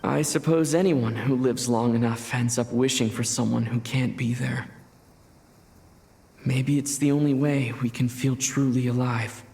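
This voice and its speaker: An actor playing an earnest, wistful young wandering hero in a video game, speaking with sincerity. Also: male voice, medium pitch, fairly even intonation, measured pace, gravelly, a little mellow, slight background noise. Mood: melancholy.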